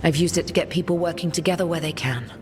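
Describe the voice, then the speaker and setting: An actress playing a weary, resolute woman leader in a video game, speaking in a measured, grave, commanding way. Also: sits low, a little mellow, long reverb tail, soft background music, natural voice. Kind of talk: video game cutscene acting.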